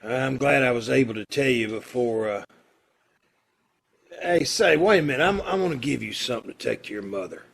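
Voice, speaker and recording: A man acting a kindly, earnest condemned prisoner in an old-time radio play, with hesitant, folksy phrasing and a gravelly, husky voice. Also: medium pitch, animated. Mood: tender, subdued. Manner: hesitant, halting, folksy and informal.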